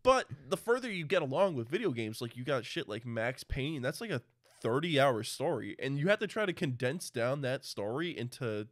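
A man's voice: medium pitch, sing-song, moderate pace, dry recording, clean studio sound. A man co-hosting a casual debate, talking informally and swearing as he makes his points. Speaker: podcast co-host.

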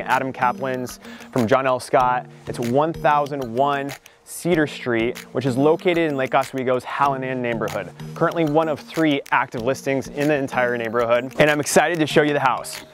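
A man in his thirties, a real estate agent narrating a walkthrough of a house in an upbeat, informative way. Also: mid-range voice. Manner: upbeat, informative salesman patter. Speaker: real estate agent giving a home tour. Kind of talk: walkthrough home tour narration. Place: inside a renovated house.

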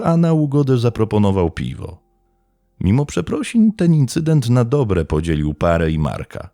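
A man narrating a scripted true crime story as a podcast narrator, in a measured, formal storytelling manner. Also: deep voice, gravelly, mellow, dry recording.

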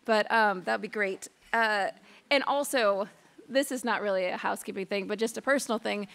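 A woman's voice: mid-range voice, moderate pace, creaky, fairly bright tone. A woman, who has a cold, introduces a conference panel from a podium microphone in a large lecture hall, enthusiastic and chatty with many fillers. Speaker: conference moderator.

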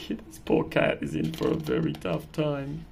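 A woman with a fairly low, creaky voice reads a picture book aloud to a child. She chats in casual, encouraging asides. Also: wildly swooping pitch, a little slow, a little mellow. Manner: encouraging, chatting to the listener. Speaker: storyteller reading to a child.

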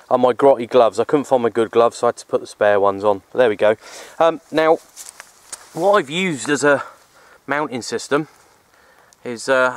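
A man in his thirties talking casually and a little hesitantly to a handheld camera outdoors, rambling through explanations as a hobby vlogger. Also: mid-range voice. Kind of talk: casual outdoor vlog talk. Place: outdoors in open countryside. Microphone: handheld camera at arm's length.

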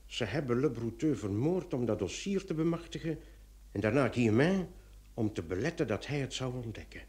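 A man's voice: medium pitch, animated, a little fast, darkish tone, lightly acted. An actor playing an earnest, anxious police commissioner in a radio play, emphatic and often repeating his words. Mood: dawning realisation. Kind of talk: Dutch-language radio drama acting.

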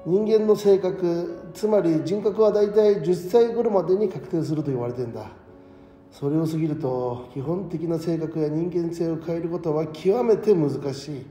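A man acting an ageing, modest schoolteacher in an audio drama, speaking as a reflective, principled and gently frank mentor. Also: fairly high pitch, lightly acted. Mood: reflective, earnest. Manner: reflective, measured mentor talk. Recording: echoey hall reverb, music underneath.

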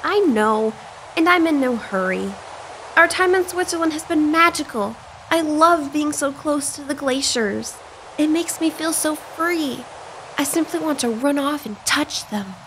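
An actress plays a young, spirited bride in a Victorian audio play. Her voice is high and her manner airy and theatrical. A light wash of ambience lies behind the words. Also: lively intonation, measured pace, fairly bright tone, a little hiss or hum, full character voice, rough recording. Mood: happy. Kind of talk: Victorian audio drama acting.

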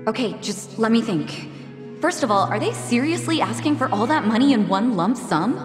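A woman's voice: fairly high pitch, lively intonation, moderate pace, music in the background, close to an everyday voice. An actress playing a worried, earnest young woman in a dramatic video game. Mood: anxious. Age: young adult. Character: worried young hostess, guarded, earnest twin sister.

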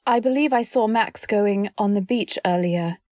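A woman's voice: mid-range voice, moderate pace, warm tone, dry recording, studio quality, natural voice. A woman playing an anxious, devoted wife who narrates her story in dramatic old-time radio style. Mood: polite, guarded. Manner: dramatic, earnest delivery. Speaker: narrator and actor.

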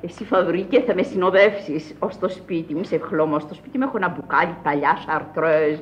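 An actress playing an eccentric, bossy old countess in a commanding, theatrical radio drama style. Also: female voice, sits low, muffled recording, a little hiss or hum, natural voice. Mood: brisk, whimsical. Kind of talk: Greek radio theatre acting.

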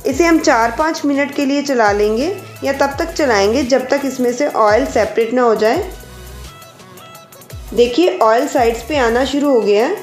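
A woman with a high voice walks viewers through a home recipe in a calm, explanatory way. Her voice-over rings in an echoey room, with faint music underneath. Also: unhurried. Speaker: cooking channel host. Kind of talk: step-by-step cooking instruction. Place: home kitchen.

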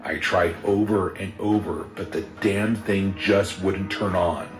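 A man reading a first-person horror story in a steady, measured storytelling voice. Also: deep voice. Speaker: narrator. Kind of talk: scripted horror story narration. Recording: roomy sound with music underneath.

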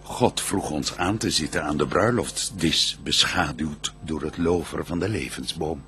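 A very deep, stately archangel in radio drama. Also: male voice, a little slow, sharp tone, soft background music, heavily acted. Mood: solemn. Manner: stately, ceremonious, declamatory. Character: solemn male archangel bringing God's wedding blessing. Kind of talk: declaimed verse radio drama.

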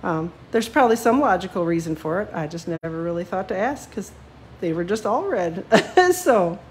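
A woman's voice: on the low side, lively intonation, moderate pace, a little hiss or hum, low-quality recording. A woman demonstrating card-making live, chatty and self-deprecating with lots of ums, a little sniffly from a cold. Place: home craft desk, seen from above.

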